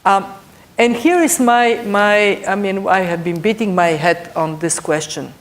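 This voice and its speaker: A middle-aged woman from Bulgaria speaks English with a clear Bulgarian accent. Her pitch sits in the middle range. She talks as a panelist, laying out numbered points and leaning on the key words. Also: a little slow. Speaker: panelist, European budget official. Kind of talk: panel discussion remarks.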